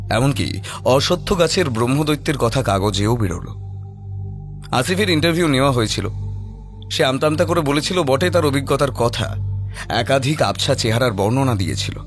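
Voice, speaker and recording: A man tells a horror story as its narrator. His voice sits at a middling pitch. The delivery is scripted and dramatic, with music clearly playing underneath. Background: prominent background music.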